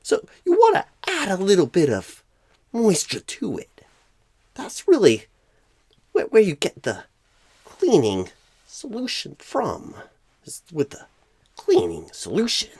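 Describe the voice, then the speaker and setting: Man doing a halting, swooping clueless recruiter. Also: sits high, wildly swooping pitch, a little mellow, husky, no room sound, full character voice. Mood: fussy, rambling. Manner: halting, odd pauses mid-sentence, rambling. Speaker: ASMR roleplay performer. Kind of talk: ASMR roleplay, comic improvised monologue.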